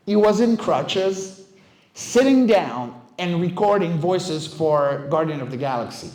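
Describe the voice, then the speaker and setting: A middle-aged man whose first language is French gives a casual conference talk. His voice sits a bit high for a man and rings in an echoey room. He speaks informally, like a seasoned coach addressing a meetup. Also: expressive, unhurried, a little mellow. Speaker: guest speaker, voice director and vocal stunt coach. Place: indoor game developer meetup venue. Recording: roomy, echoing event space.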